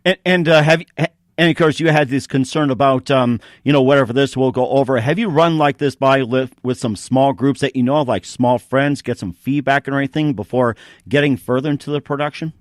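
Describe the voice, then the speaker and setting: A man's voice: medium pitch, fairly quick, no echo, studio quality. A man hosting a casual interview, chatting and asking questions in a meandering, repetitive way. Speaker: interview show host.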